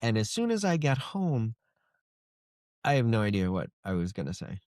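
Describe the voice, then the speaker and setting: A man's voice: on the low side, sing-song, moderate pace, a little mellow, no echo, studio quality. A man speaking casually as an author being interviewed on a podcast. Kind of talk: casual chat.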